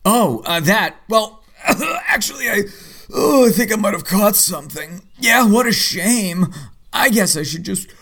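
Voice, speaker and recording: A man playing a wry, lovestruck 38-year-old vigilante hero who narrates his story in a superhero audio drama, with a husky, self-deprecating delivery. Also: sits high, lively intonation, a little slow, fairly bright tone, heavily acted. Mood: teasing, evasive.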